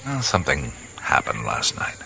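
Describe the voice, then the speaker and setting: An actor playing a relaxed, wry adventurer in a radio drama, speaking in an easy drawl. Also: male voice, a bass voice, normal speed, gravelly, background noise, heavily acted. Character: easygoing adventurer man, wry and dreamy. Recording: jungle ambience with insects and birds.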